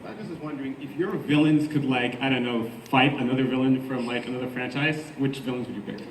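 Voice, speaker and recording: A man's voice: mid-range voice, fairly even intonation, fast. Man asking from afar, echoey hall. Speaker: audience member asking a question. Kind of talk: informal question at a microphone. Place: convention panel room. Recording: distant, echoey hall, faint noise.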